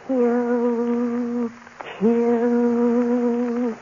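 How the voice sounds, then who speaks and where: Slow, monotone sinister phantom woman. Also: on the high side. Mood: menacing chant. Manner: droning, chanting, relentless. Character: sinister spectral woman from hell, hypnotically commanding murder. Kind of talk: 1940s radio horror acting. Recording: muffled noisy old radio recording.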